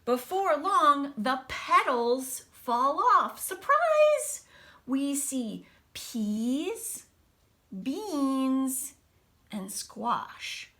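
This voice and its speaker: A woman teacher reading a picture book aloud to children, expressively, with casual, hushed explanatory asides. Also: sits high, very slow. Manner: animated storybook delivery, dropping to hushed asides.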